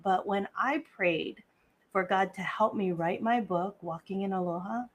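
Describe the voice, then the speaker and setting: Host, woman from Hawaii, slow and deliberate interview delivery. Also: medium pitch. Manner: measured, deliberate delivery with a scripted-sounding welcome. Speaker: podcast host. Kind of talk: live podcast interview hosting.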